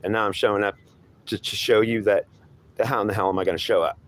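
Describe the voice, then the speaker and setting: A man's voice: lowish voice, fairly even intonation, quick pace, fairly bright tone, lightly acted. A man playing a taunting devil who claims to be God, lecturing in a scornful, goading, repetitive way. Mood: mocking, stern.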